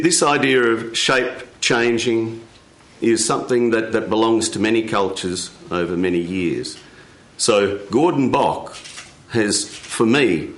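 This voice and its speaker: Slow, measured male storyteller in a roomy space. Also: on the low side. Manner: measured, dramatic pauses. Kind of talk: live storytelling of a folk tale. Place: radio studio with live audience.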